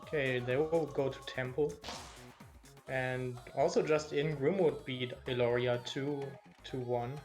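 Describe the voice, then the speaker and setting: Casting: German-accented male commentator, mid-pitched, live play-by-play, game music under the voice. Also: steady tone, darkish tone. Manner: quick, engaged play-by-play, reacting to the action. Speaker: esports commentator.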